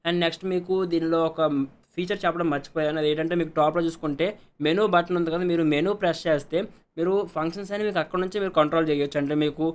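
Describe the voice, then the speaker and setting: Very fast-talking man reviewing a product. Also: on the high side, fairly even intonation. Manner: repetitive step-by-step explaining, mixing in English words. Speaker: product reviewer, channel host. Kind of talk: casual unboxing and review narration.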